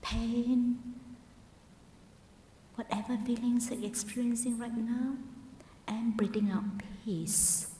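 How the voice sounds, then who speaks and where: Female meditation guide: very gentle and breathy, slow delivery, recorded in an echoey hall with faint noise. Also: fairly high pitch, fairly even intonation. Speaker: meditation teacher, Buddhist nun. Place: large meditation hall at a Buddhist centre. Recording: roomy hall reverb, faint background noise.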